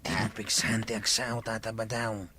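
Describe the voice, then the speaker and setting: An actor playing a scheming drunk drinking buddy in an Ilocano village comedy drama. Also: male voice, on the low side, level tone, measured pace, sharp tone, slight background noise. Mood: sly, hushed.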